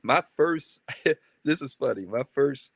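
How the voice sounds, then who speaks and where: A man's voice: medium pitch, slow pace, vocal fry, husky, no echo. A young man from Maryland, a rapper, telling stories in a casual interview with lots of fillers and tangents. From Maryland, USA. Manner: rambling, animated storytelling with many fillers.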